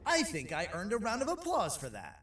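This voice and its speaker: Boastful game creature, showman magician, high voice, hugely animated pitch, bragging, distant. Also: brisk, exaggerated voice. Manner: showy, bragging. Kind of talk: video game character dialogue. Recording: distant from the mic.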